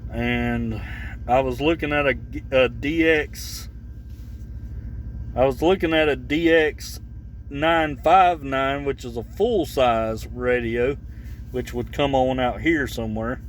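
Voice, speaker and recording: A man giving casual, unscripted talk about gear for his vlog, halting and rambling as he searches for words. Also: mid-range voice, slight background noise. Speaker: vlogger showing his CB radio.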